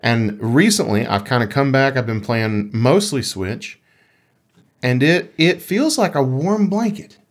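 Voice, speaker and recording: A man's voice: medium pitch, animated, a little slow. A man co-hosting a podcast, chatting casually and emphatically about video games in an informal way. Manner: enthusiastic, emphatic, informal with swearing. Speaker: podcast co-host.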